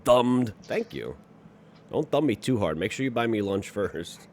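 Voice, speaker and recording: A man's voice: lowish voice, sing-song, a little slow, darkish tone, slight background noise. A man hosting a livestream, chatting casually with co-hosts and viewers in a crude, sweary, bantering manner. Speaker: livestream host.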